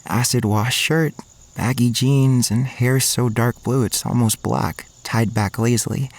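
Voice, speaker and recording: A man's voice: medium pitch, a little slow, creaky. An actor playing an awkward, anxious young college man narrating an audio drama in first person, with music behind him. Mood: anxious, watchful. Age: young adult. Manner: hesitant, stammering in dialogue. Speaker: narrator and main character.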